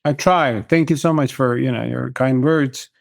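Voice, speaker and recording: A middle-aged man from Turkey, a guest author, answering interview questions in a measured, thoughtful way. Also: medium pitch, creaky, dry recording, studio quality.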